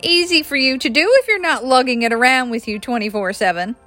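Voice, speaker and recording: A woman's voice: high-pitched, a little fast, sharp tone, dry recording, soft background music. A woman voicing a sardonic, exasperated young woman in a story reading. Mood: wry. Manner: wry, exasperated. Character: sardonic pregnant young woman, fed up with work.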